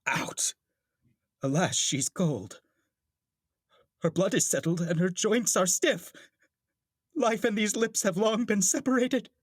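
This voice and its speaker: A man's voice: sits high, slow pace, pauses often, no room sound, clean studio sound. A man playing a fussing older father and master of the house in a classical Shakespeare play, commanding and theatrical. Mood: stricken grief. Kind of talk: classical Shakespearean stage acting.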